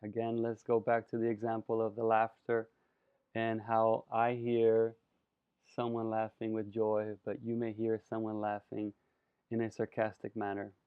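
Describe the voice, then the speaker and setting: A man from Colombia giving a presentation lecture, expressive and savoring his words with drawn-out emphasis. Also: fairly low pitch, clear, resonant tone, no echo. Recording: dry, phone-like narrow sound.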